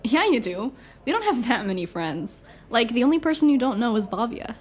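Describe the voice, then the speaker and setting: Woman acting brash superhero gal, kinda high voice, sweary teasing but encouraging, audio drama. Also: very animated, brisk. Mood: reassuring, teasing. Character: Scarlet, brash, encouraging superpowered party host.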